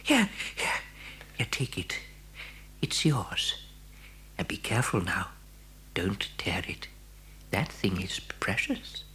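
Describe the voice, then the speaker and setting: Low-voiced, fussing, kindly shopkeeper. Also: female voice, sing-song, slow pace, pauses often, fairly bright tone, in a whisper, full character voice. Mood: hushed, urgent. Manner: stammering, repeating words, chatty. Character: kindly, fussing shopkeeper serving a small boy. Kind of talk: acted audio dramatisation for children.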